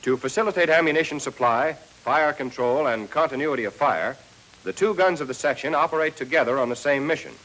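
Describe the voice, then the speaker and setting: A man's voice: medium pitch, brisk, a bit bright, low-quality recording. 1940s American military training film narrator, male. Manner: instructional, addressing trainees directly.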